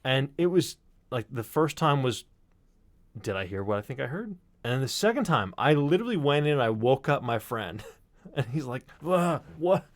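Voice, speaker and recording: A man talking casually as a podcast sound designer, telling stories in an animated, sweary way. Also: mid-range voice, moderate pace, dry recording. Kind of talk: casual chat and storytelling.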